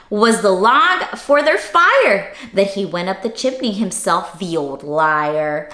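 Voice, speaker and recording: Woman, high animated voice, unhurried pace, dramatic interactive children's read-aloud, roomy echo. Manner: expressive, dramatic, engaging listeners with questions. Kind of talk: interactive storybook reading with asides to children. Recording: roomy, echoey sound.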